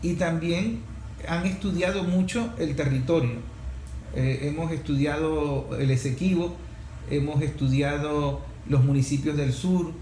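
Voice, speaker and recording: A man with a Venezuelan accent hosts a talk show in a somewhat high voice. He speaks without a script, halting and reworking phrases as he goes. The room is clearly echoey, with faint noise behind him. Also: mellow. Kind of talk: unscripted opinion commentary and guest introduction.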